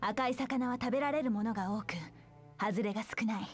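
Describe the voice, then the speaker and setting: An actress plays a proud, stubborn young woman soldier in a video game. She talks quickly in clipped, serious phrases, with a fairly high voice. Music plays lightly behind her. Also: lightly acted. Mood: curt, serious. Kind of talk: Japanese video game voice acting.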